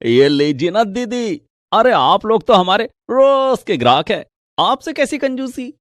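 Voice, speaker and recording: A man's voice: sits high, big pitch swings, measured pace, no room sound, studio quality. A man voicing a polite young food-stall server with a deferential, salesman-like manner in a storybook tale. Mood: friendly.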